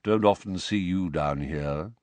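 A man's voice: measured pace, darkish tone, dry recording. A man doing a sepulchral, mournful wizard. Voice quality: sepulchral, low, hollow. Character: sallow, mournful male wizard, unblinking.

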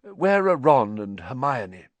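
Man playing a gentle, thoughtful professor. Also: mid-range voice, very animated, dry recording, voice pushed up. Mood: gently curious. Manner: mild, slow, thoughtful. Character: calm, kindly male professor, thoughtful and gently inquiring.

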